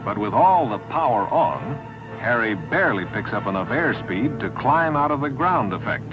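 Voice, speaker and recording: Male narrator, scripted training film, 1960s, measured phrasing, lively intonation, muffled old soundtrack. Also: medium pitch, music in the background.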